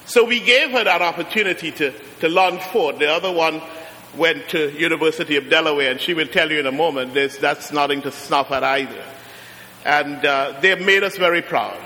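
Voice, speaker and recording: A middle-aged man from Trinidad with a Trinidadian accent, preaching a sermon as a guest preacher, slowing down and rising to emphatic peaks. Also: fairly high pitch, bright, forceful tone. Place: large church. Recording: long church reverb.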